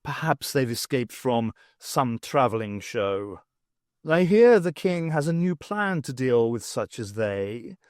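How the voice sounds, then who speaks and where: A man's voice: medium pitch, very animated, slow, no echo, studio quality. A man narrator puts on a talking cat for an audiobook. He is languid and haughty, choosing formal, wordy phrases and drawling them with lofty disdain.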